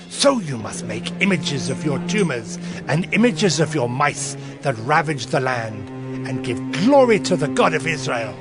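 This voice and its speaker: An ancient priest giving solemn counsel in a scripture drama. His voice is high for a man and he declaims with urgent emphasis. Clear music plays underneath. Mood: solemn, instructing.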